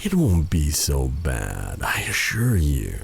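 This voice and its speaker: A man playing a vengeful, silky dark sorcerer in a fantasy ASMR roleplay, taunting and theatrical, with breathy dips toward a whisper. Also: a bass voice, huge pitch swings, full character voice. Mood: gloating, menacing. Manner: taunting, theatrical, drawn-out delivery with purred endearments.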